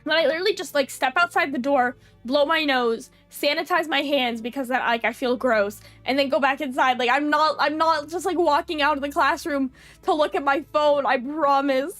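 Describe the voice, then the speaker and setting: A young woman with a high, nasal voice, chatting with her stream viewers while she's stuffed up from allergies. She talks in a loose, giggly way, full of "like" and small restarts. Faint music plays behind her. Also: normal speed, a bit bright. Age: young adult. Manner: chatty, lots of fillers and giggles. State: stuffy runny nose. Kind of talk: casual chat with viewers.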